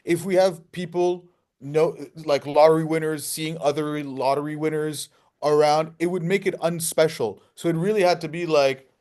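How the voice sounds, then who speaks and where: Higher-pitched man explaining in casual interview. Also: fairly even intonation, normal speed, a little mellow. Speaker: filmmaker being interviewed.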